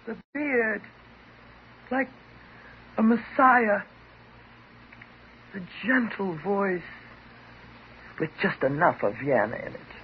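An actress playing a bitter, wounded divorced housewife, sarcastic and dramatic, in the style of 1950s radio drama. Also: female voice, mid-range voice, takes it slowly, pauses often, natural voice. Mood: bitterly sarcastic. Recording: muffled, noisy 1950s radio recording.

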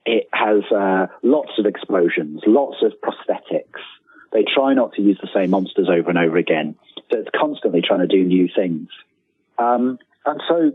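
A man's voice: deep voice, husky, no room sound. Man on a thin, phone-like line. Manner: hesitant, repeats phrase starts, enthusiastic. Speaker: interviewed guest, author. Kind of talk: casual interview, anecdotes.

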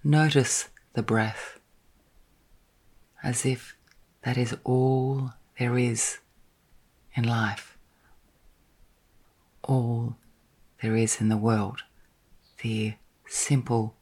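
Soft breathy woman doing sleep meditation, half whispering, super slow w/ long gaps, bit of vocal fry. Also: a bass voice, steady tone. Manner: gentle, soothing, long pauses between short phrases. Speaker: meditation guide.

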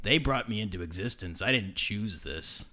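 Man doing a bright, lively-voiced killer clone, blunt and deadpan, for comedy improv. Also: fairly low pitch, normal speed. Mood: deadpan menacing. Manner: deadpan menace, blunt demands. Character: murderous male clone, grumpy young man.

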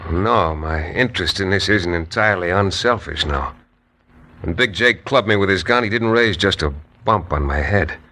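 An actor playing a steady, dry-witted frontier lawyer who narrates a 1950s radio drama in a wry, measured way. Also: male voice, sits low. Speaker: narrator and lead. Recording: noisy old radio recording.

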